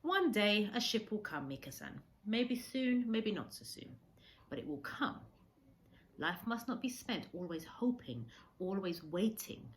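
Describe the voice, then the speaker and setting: Woman reading as a gentle, halting old Japanese man. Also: high voice, sing-song, pitched above the performer's own voice. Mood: calm, philosophical. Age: older. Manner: halting, simple broken English.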